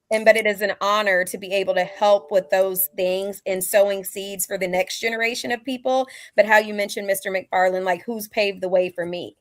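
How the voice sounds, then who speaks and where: A woman's voice: medium pitch, no echo. Earnest young woman, dry sound. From Alabama, US. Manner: earnest, with frequent "like" and "so". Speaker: community organizer guest. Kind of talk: casual interview answers.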